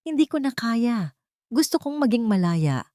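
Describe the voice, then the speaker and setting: A woman's voice: fairly high pitch, big pitch swings, measured pace, mellow, no room sound, clean studio sound, pitched above the performer's own voice. A woman voicing a frightened, remorseful young woman in a dramatic audiobook, pleading and angry. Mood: desperate. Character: frightened, remorseful young woman, defiant toward her abusive lover.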